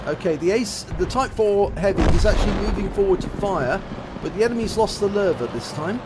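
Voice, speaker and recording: A man commentating on a tank game replay, a bit rough and nasal from a cold, explaining each shot and the damage it does. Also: high-pitched, expressive, a little fast, very noisy. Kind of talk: gameplay commentary over a replay. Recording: loud game battle sounds behind voice. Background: tank game gunfire and engines.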